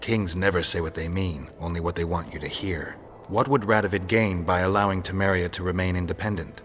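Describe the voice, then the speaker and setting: A male actor playing a calm, cynical, world-weary monster hunter in a video game, talking in a measured, deadpan, wry way. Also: low voice, slight background noise. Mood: cynical, probing. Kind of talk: dramatic video game acting.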